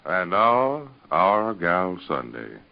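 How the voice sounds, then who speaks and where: An American man reading scripted narration and advertising as an old-time radio serial announcer, with emphatic, deliberate enunciation. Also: low-pitched, very animated, creaky. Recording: dull, band-limited vintage radio recording.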